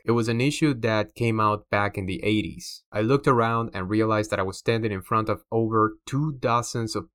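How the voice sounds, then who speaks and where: A man's voice: fairly low pitch, sharp tone, no room sound, studio quality. A man from Cuba with a Cuban accent, hosting a podcast in a scripted monologue with playful, theatrical flair. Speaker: podcast host.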